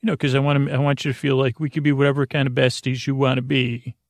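Gravelly, rambling American man, bedtime podcast host. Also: medium pitch, flat delivery, dry recording, studio quality. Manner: meandering, halting, stumbles and trails off mid-thought. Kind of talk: rambling bedtime monologue to help listeners sleep.

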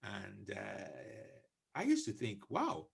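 Gravelly, animated middle-aged male interviewer. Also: mid-range voice, moderate pace. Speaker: podcast host, comedian. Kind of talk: casual interview.

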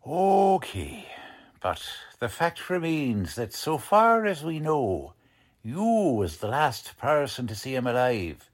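An actor playing a gruff, bossy, uneducated police lieutenant with blustery, ungrammatical speech in a 1940s-style radio drama. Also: male voice, mid-range voice, big pitch swings, slow pace. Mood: pressing, sardonic.

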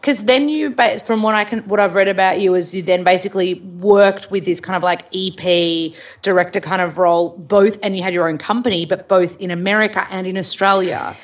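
A woman's voice: medium pitch, steady tone, a little fast, hardly any pauses. A woman hosting an interview podcast, friendly and affirming, often saying yeah and totally. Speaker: podcast host. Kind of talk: casual interview chat.

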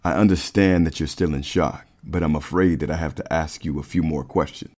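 A man playing a federal agent in his thirties for a crime audio drama. His voice is very low, and he speaks firmly, pressing for answers. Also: fairly even intonation, fairly quick, darkish tone. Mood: polite, firm. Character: firm, pressing mid-thirties male FBI agent.

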